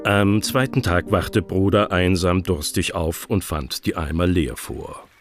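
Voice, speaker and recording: Male narrator, deep voice, scripted children's storytelling, radio play. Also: unhurried, over music.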